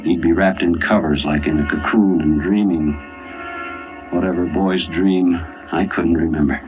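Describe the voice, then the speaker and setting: A man's voice: low voice, fairly even intonation, normal speed, lightly acted. An actor playing a weary, dogged family man in an old-time radio drama, with brooding, hard-boiled delivery. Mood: tense, grim. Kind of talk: old-time radio first-person narration and acting. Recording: dull old radio recording. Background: dramatic music.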